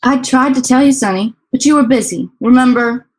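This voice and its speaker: A woman's voice: high-pitched, a little fast, lightly acted. A woman playing a wry, teasing office secretary in a radio play.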